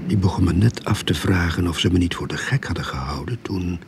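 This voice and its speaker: Deep-voiced, weary young detective. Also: male voice, brisk, a little mellow. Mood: wry, brooding. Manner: wry, world-weary. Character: weary young male novice private detective narrating his case. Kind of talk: radio drama narration and dialogue. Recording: radio play with clear background noise.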